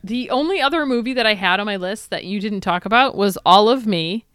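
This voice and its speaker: Wry woman in her forties, lively. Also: mid-range voice, a little fast, clean tone, no room sound, studio quality. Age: 40s. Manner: wry, joking, laughs often. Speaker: podcast co-host. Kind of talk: casual chat.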